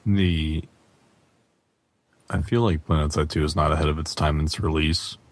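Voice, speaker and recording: Very deep, even-toned male podcast host. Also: moderate pace, dry recording. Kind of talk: casual gaming podcast discussion.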